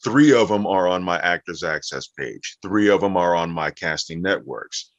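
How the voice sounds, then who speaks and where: Very deep-voiced man explaining, echoey room. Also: lively intonation, moderate pace. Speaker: voice actor being interviewed. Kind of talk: conversational interview explanation. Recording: echoey room, dull muffled audio.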